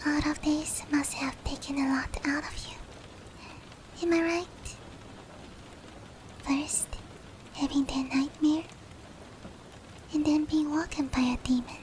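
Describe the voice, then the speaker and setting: A woman voicing a gentle, protective female demon in a soothing, breathy whispered ASMR roleplay, slow and comforting. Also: high-pitched, steady tone, slight background noise. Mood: soothing, sleepy. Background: rain.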